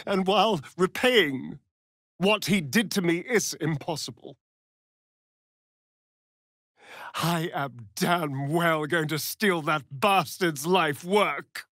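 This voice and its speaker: An actor playing a sly, vain vampire rogue in a video game, with a silky, theatrical, mocking drawl. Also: male voice, on the high side, pushed and bright, no room sound, studio quality, exaggerated voice. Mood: vengeful, grand. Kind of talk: video game character voice lines.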